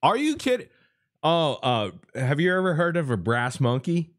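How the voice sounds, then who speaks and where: A man's voice: on the high side, big pitch swings, normal speed. A man chatting casually as an interviewer, in informal, slangy, exclamatory speech full of swearing. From Los Angeles, USA. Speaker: interviewer, podcast host. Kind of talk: casual chat. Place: remote online call.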